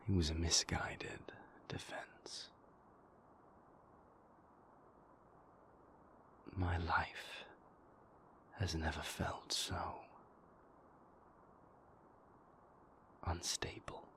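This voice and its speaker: A man playing a brooding, remorseful vampire boyfriend in an intimate audio roleplay, with a breathy, gravelly near-whisper and halting, formal, confessional phrasing. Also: low-pitched, flat delivery, a bit bright, full character voice. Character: brooding, remorseful male vampire boyfriend, former royal enforcer.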